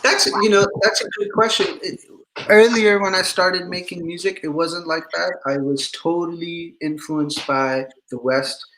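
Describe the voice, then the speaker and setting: A young man from Pakistan, a musician, talking about himself in a relaxed, chatty way with easy laughs during a casual interview. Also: fairly high pitch, a little slow, a little hiss or hum, poor audio. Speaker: musician guest.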